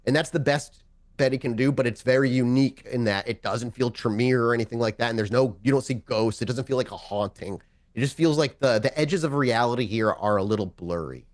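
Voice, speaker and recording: A man's voice: medium pitch, a little fast. A man improvising narration as game master of a tabletop game, talking in a casual, conversational, sometimes profane way.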